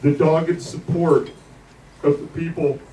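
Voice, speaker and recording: A man giving a eulogy at a memorial gathering in a deliberate, declarative way, with dramatic pauses between phrases. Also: a little high, fairly even intonation, dark tone. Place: bar venue, captured from the audience. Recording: distant mic in a noisy bar.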